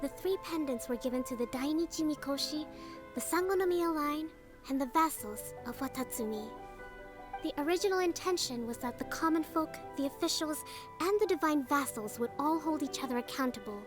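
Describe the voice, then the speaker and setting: A woman playing a gentle, composed young priestess leader in a video game, speaking in a measured, formal and polite way. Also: high-pitched, expressive. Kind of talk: video game acting, expository dialogue. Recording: soft game music behind the voice.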